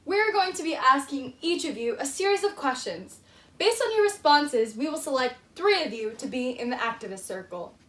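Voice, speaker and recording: A woman's voice: high voice, expressive, normal speed, background noise. A woman hosting a mock game show for a school project, with a showy announcer delivery, in a roomy echo.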